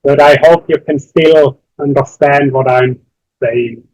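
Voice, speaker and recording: A man from Switzerland with a Swiss accent, not a native English speaker, giving a workshop lecture slowly and deliberately. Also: mid-range voice, fairly even intonation, a little mellow, dry recording, highs cut off. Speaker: workshop instructor.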